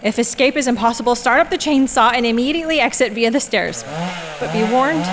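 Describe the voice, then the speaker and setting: An actress playing a nervous new night-shift worker reading out strange rules. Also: female voice, fairly high pitch, brisk, in a large hall, background noise, close to an everyday voice. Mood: anxious. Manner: anxious, jittery.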